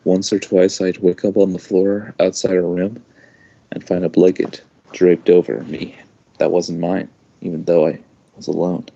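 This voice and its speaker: Guy with a low voice doing a casual fanfic read, kinda halting, muffled lo-fi recording. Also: little pitch movement, gravelly, warm tone. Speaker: amateur fan fiction reader. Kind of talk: informal read-aloud of a first-person story. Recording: dull lo-fi home recording.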